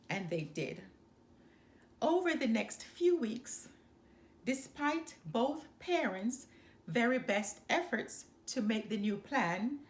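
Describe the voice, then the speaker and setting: A woman reading a children's picture book aloud, with expressive, deliberate and dramatic phrasing. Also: a little high. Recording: roomy, echoing sound.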